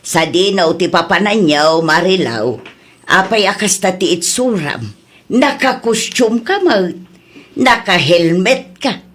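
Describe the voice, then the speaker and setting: A woman with an Ilocano Filipino accent playing a nagging, sharp-tongued village wife and mother in a comedy audio drama. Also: on the low side, animated, slow pace. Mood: teasing. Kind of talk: comedy radio drama acting.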